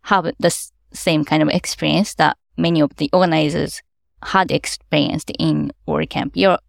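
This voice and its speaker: A woman's voice: sits low, fairly even intonation, normal speed, gravelly, dry recording, clean studio sound. A woman whose first language is Japanese, answering interview questions in careful non-native English as an event organizer, with light laughs as she explains.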